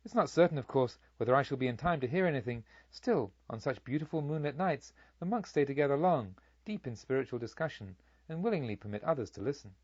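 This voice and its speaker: Guy reading as a reformed bandit in a storybook, earnest and formal, mid pitch, dry slightly muffled audio. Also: expressive, fast talker, hardly any pauses, breathy edge, no room sound. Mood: courteous urging. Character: reformed bandit, earnest, urgent, speaking to a noble lady.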